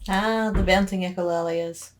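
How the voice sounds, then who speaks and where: A woman's voice: lowish voice, steady tone, a little hiss or hum. A woman giving casual director's commentary, bantering in a contrary, teasing way. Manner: contrary, teasing, quick to argue.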